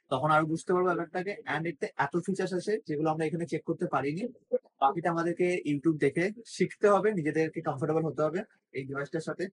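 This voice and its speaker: A man's voice: fairly high pitch, low-quality recording. A man with a Bengali accent talking casually to viewers as a vlogger, mixing in English words. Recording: clear vehicle and ambient noise.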